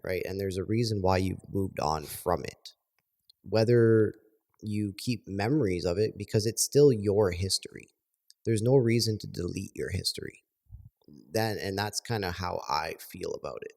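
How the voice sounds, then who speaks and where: A young man co-hosting a podcast in casual chat, calmly explaining his view in a measured way. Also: deep voice, gravelly. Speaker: podcast co-host.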